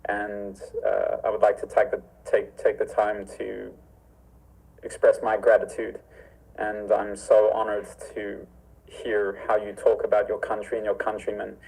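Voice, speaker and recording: A man from Zimbabwe with a low, gravelly voice that stays on a narrow, even pitch. He talks earnestly and formally, in a reflective way, joining the talk by video call from his home. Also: unhurried. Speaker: martial arts instructor. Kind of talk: remote interview conversation. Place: home with a library.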